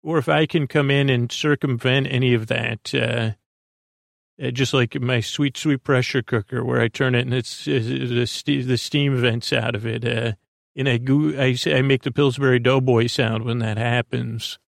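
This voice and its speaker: Creaky gravelly guy doing a sleep podcast, meandering and halting, super dry close sound. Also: medium pitch, steady tone, no echo. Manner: halting, meandering, trailing off mid-thought. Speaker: host of a bedtime sleep podcast. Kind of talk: rambling, soothing monologue. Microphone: close podcast microphone, dry.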